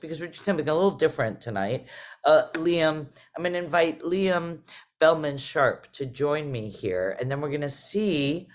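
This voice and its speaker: A middle-aged woman, hosting a theater talk in a conversational way over a video call. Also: very deep voice. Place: indoors on a video call, virtual theater backdrop.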